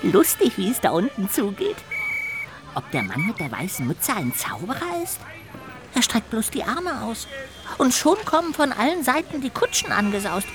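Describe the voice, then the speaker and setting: An actress playing a small, childlike, chatty little ghost in a children's audio drama, exclaiming and musing to itself expressively. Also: female voice, a little high, gravelly. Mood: amazed.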